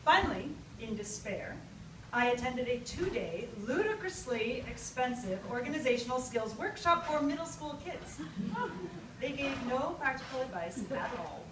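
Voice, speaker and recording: A woman reading a humorous personal essay to an audience, theatrical and emphatic, with deliberate pauses. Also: high-pitched, big pitch swings. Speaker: writer reading own essay. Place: live reading at an event. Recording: echoey, distant live recording with loud noise.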